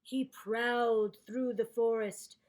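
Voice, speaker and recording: A woman reading aloud to children in an expressive storytelling style, with deliberate, dramatic emphasis. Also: on the high side. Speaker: storybook reader.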